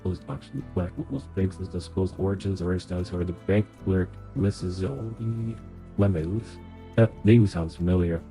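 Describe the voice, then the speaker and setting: Young guy streaming a game, low dark voice, stuffy and nasal from a cold, chatting to himself as he plays. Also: steady tone, unhurried, soft background music, poor audio. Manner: chatty, thinks aloud while playing. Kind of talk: live game playthrough commentary.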